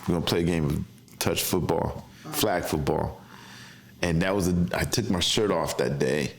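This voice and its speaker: A man in his fifties, a guest in an interview, speaking in a relaxed, reflective way with many restarts. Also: sits low, moderate pace. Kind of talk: casual conversational interview.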